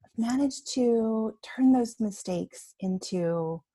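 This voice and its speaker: A woman's voice: on the high side, takes it slowly, dark tone, slightly rough. A woman in her fifties from Canada, a coach speaking as a podcast guest, reflective and laughing often. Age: 50s.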